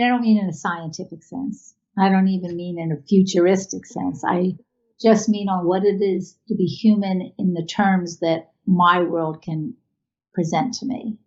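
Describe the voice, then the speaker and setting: A woman giving reflective, halting interview answers as an artist, recorded over an internet video call. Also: medium pitch, gravelly, darkish tone, muffled recording.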